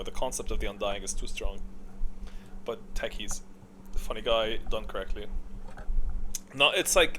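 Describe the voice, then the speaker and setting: Male game tier-list host, slightly low voice, off-the-cuff and halting, some background noise. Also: pushed and bright. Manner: hesitant, rambling, stop-start delivery. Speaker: video host ranking game voice lines. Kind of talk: casual unscripted tier-list commentary.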